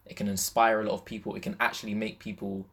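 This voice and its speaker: A man's voice: fairly low pitch, level tone, fast talker, breathy edge. A British man with a London accent co-hosting a podcast in a casual, slangy, conversational way. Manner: slangy, conversational, peppered with "yeah" and "like". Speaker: podcast co-host.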